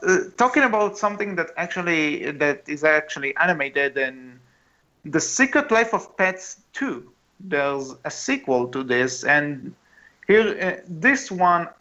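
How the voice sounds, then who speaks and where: A man's voice: a little high, lively intonation, measured pace, bright, forceful tone. A man hosting a casual film discussion podcast, talking in a hesitant, conversational way as he thinks aloud. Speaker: podcast host. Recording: dull lo-fi voice recording.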